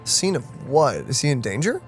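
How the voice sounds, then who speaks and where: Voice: medium pitch, very animated, brisk. A let's player giving casual, playful, slangy gameplay commentary to a co-player. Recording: game music behind the voice. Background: video game music.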